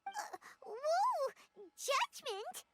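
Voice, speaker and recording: A woman voicing a tiny, childlike, excitable fairy companion in a video game, squeaky, perky and dramatic. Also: drawn-out words, exaggerated voice. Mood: nervous. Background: background music.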